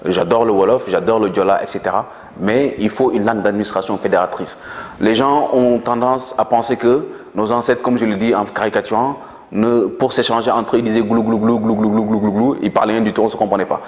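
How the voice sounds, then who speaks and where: A man's voice: on the low side, fairly even intonation, fairly quick, a little mellow, roomy sound, in a large hall. A man whose first language is Manjak, explaining ideas earnestly in an interview, with frequent hesitations. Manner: earnest lecturing tone with many hesitations. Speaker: guest.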